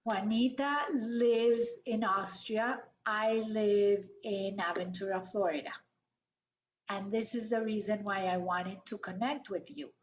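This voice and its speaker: A middle-aged woman from Colombia, hosting an interview over a video call in a measured, welcoming way. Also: mid-range voice, fairly even intonation, slight background noise. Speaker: host. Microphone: video call webcam. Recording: echoey, dull webcam audio.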